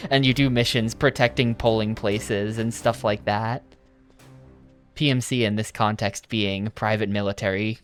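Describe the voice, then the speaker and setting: A man's voice: on the low side, fairly bright tone. A young man from the United States commenting casually on a game as he plays, with dry, joking asides. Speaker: video game streamer.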